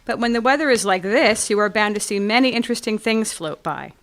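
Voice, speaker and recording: A woman acting in community radio theatre as a gracious small-town café owner who describes things in measured, poetic phrases. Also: medium pitch, expressive, a bit bright. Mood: reflective.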